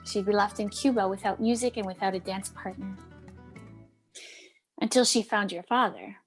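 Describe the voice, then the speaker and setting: A woman's voice: medium pitch, steady tone, normal speed, natural voice. Woman acting a caring, firm Cuban aunt, music behind. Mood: gentle, nostalgic. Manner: mixes Spanish endearments into English, coaxing. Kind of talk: dramatic play table read.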